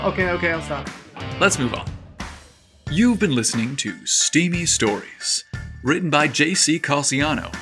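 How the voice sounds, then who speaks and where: A man hosting and narrating stories in a playful, expressive, teasing way. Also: a little high. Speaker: podcast host and narrator. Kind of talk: scripted story narration with playful chatty asides.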